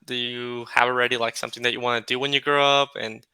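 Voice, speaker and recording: Brief: friendly male tutor, bright crisp voice, dry close sound. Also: mid-range voice, no echo, poor audio. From California, United States. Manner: relaxed and friendly, many fillers and pauses. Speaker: English tutor. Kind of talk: casual video-call conversation. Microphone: video call.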